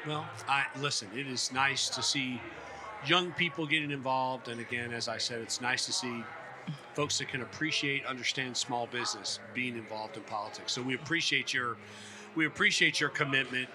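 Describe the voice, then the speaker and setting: A man hosting an on-location interview, talking in a folksy, effusive and halting way. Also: medium pitch. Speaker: podcast host. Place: busy conference venue at a hotel. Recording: clear background noise on location.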